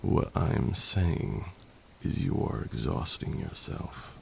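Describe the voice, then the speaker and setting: A man's voice: extremely low pitch, lively intonation, takes it slowly, vocal fry, a little mellow, reverberant, a little hiss or hum, full character voice. A man playing a haughty but caring vampire lord in an ASMR roleplay, speaking formally and sternly. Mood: stern, concerned.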